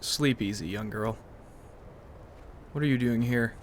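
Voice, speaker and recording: A man's voice: medium pitch, a little slow, slight background noise. A man hosting a gaming video, giving casual roleplay commentary as he plays. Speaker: gaming video host and player.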